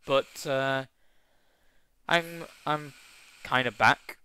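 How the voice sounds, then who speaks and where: A man's voice: mid-range voice, drawn-out words, sharp tone, clear, resonant tone. A young man casually reviewing a wrestling show, rambling with lots of fillers and restarts, with a tickly cough.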